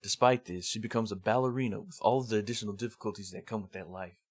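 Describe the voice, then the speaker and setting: A man's voice: lowish voice, fast, dry recording. A man presenting a review in semi-scripted narration with casual, rambling asides. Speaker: host of a manga review series.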